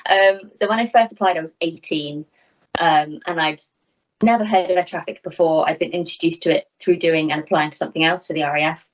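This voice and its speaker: Low-pitched woman on a video call. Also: steady tone, fairly quick. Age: 30s. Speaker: air traffic controller, interview guest. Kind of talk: conversational interview answers. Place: small home room, indoors. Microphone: video call, laptop at arm's length. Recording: slightly dull video-call audio.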